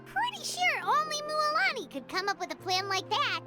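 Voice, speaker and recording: A woman voicing a tiny, childlike floating fairy sidekick in a video game, squeaky and full of exclamation. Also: big pitch swings, normal speed, over loud music. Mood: incredulous. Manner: exclamatory, incredulous.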